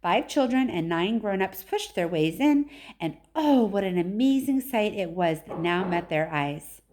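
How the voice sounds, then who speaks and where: A woman narrates a children's story aloud, expressively, with deliberate and emphatic phrasing. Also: medium pitch, animated, darkish tone, a little hiss or hum. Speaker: read-aloud storyteller, teacher.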